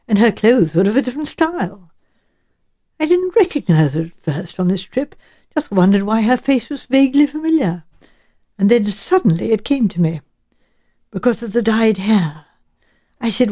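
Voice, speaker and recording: Shrewd, genteel old English lady detective for audiobook woman performing; soft-edged tone, thoughtful and chatty. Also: on the high side. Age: elderly. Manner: musing, hedging, politely chatty. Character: shrewd, genteel elderly village spinster turned amateur sleuth.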